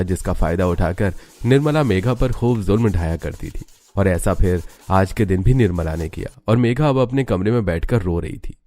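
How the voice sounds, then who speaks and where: A man narrating a scripted animated family story as a storyteller. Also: fairly low pitch, no room sound.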